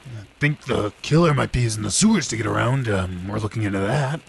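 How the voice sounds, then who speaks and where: A weary, hard-drinking detective from an old-style radio play. His voice sits low with a gravelly creak. He is gruff and sounds worn down, over faint background noise. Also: brisk. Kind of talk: old time radio drama acting.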